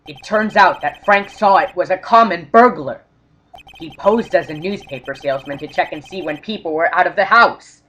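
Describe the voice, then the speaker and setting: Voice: mid-range voice, moderate pace, fairly bright tone, muffled recording, a little hiss or hum, lightly acted. Earnest young defense lawyer played by an actor. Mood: relieved, explanatory. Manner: dramatic, emphatic, earnest. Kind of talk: video game fandub dialogue and narration. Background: game music.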